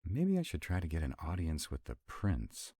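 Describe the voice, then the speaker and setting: A man's voice: deep voice, huge pitch swings, moderate pace, dark tone, no echo, studio quality, exaggerated voice. A man playing an irritable, sarcastic vampire with a rebellious streak in an intimate, conversational roleplay audio drama. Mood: musing, frustrated. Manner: sarcastic, conversational, drawling asides. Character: sarcastic male vampire, irritable, wry, rebellious council member. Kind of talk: intimate one-sided roleplay audio acting.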